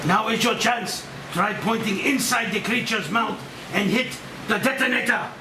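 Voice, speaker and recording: Man as exasperated, insistent monster-movie expert, high-pitched, echoey, noisy recording. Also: moderate pace, natural voice. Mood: urgent. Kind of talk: amateur table-read acting. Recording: echoey, distant mic, noisy room.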